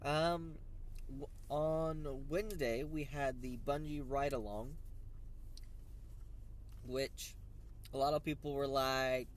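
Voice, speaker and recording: A man's voice: mid-range voice, fairly even intonation, slow, pauses often. A man hosting a casual gaming news recap from his car on the way to work, congested and nasal with a sore throat, chatty and rambling. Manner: chatty, rambling, with fillers and asides. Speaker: host of a gaming commute vlog. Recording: car road noise. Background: car and traffic noise.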